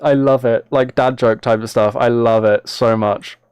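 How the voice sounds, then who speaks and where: Guy just chatting on a game stream, thinking out loud, close dry mic, no room sound. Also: mid-range voice, steady tone, normal speed, clear, resonant tone, dry recording. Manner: chatty, thinking aloud as he plays. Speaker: live streamer. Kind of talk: casual live game stream chat, replying to viewers.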